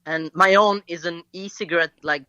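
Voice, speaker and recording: A man's voice: fairly high pitch, fairly even intonation, pushed and bright, smooth, no echo. French-accented man on a lo-fi call. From Belgium. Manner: hesitant, halting with many fillers. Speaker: guest actor. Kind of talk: casual interview storytelling. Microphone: video call. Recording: lo-fi call line.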